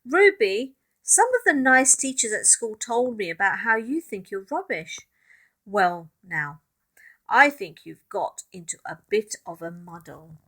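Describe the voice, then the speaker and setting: A woman's voice: medium pitch, big pitch swings, measured pace, fairly bright tone. A woman voicing a kind, reassuring school lunchtime lady from a storybook, soothing and affectionate. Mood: friendly, explaining.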